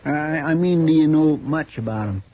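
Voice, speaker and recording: An actor playing an earnest, naive frontier deputy with an American rural drawl, deferential and rambling, in a 1950s radio western. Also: male voice, a little high, moderate pace, lightly acted. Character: folksy, earnest, naive marshal's deputy in Old West. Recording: muffled, noisy old radio recording.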